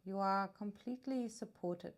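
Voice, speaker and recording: A woman guiding a meditation as a yoga nidra teacher, soothing and unhurried, leaving long pauses between her phrases. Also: mid-range voice. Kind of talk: guided meditation narration.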